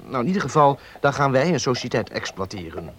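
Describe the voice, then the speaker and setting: An actor playing a charming, spoiled young student, smooth-talking and teasing in a radio drama. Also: male voice, medium pitch, sing-song, fairly quick, fairly bright tone, a little hiss or hum. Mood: sheepish, wheedling. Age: young adult.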